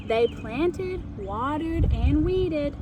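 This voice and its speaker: Lady reading kids story, high voice, slow n expressive, teachery, noisy background. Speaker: children's story reader. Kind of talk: storytime read-aloud narration. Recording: clear background ambient noise.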